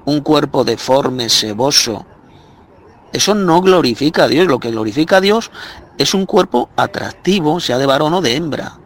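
A man's voice: on the high side, animated, normal speed. A man preaching harshly and with scorn in a recorded voice message.

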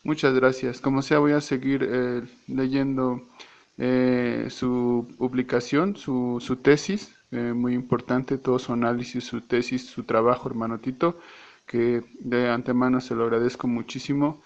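A man's voice: medium pitch, level tone, measured pace, vocal fry, a little mellow, muffled recording. A Mexican man from Mexico leaving a casual voice message, hesitant, often repeating and correcting himself. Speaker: church member.

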